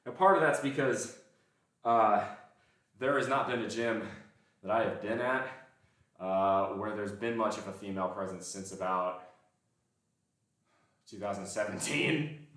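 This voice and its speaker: A man's voice: on the low side, measured pace, pauses often, fairly bright tone, recorded from a distance. A man with a mild Southern accent, chatting casually and self-deprecatingly with his livestream viewers between sets in a gym. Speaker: livestreaming lifter. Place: indoor gym. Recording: very echoey room, voice off-mic.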